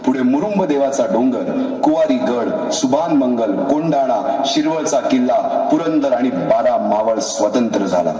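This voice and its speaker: A man's voice: medium pitch, animated, moderate pace, few pauses, mellow, lightly acted. A man delivering dramatic scripted narration for a stage play. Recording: reverberant hall, distant mic, music.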